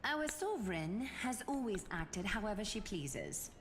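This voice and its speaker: A woman's voice: medium pitch, very animated, unhurried, a little hiss or hum. Aloof noblewoman in long reverb. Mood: composed, wry. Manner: composed, formal, dry. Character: poised, aloof young noblewoman, dryly elegant. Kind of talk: video game voice acting. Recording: long reverb on game dialogue, music behind.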